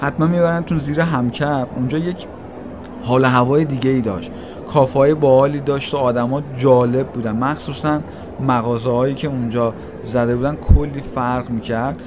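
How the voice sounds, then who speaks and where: A man's voice: medium pitch, steady tone. A man giving a casual, chatty walking-tour narration, talking straight to his viewers as he strolls through a large indoor shopping mall. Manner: chatty, addresses viewers directly, musing. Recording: noisy mall ambience. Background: mall crowd ambience.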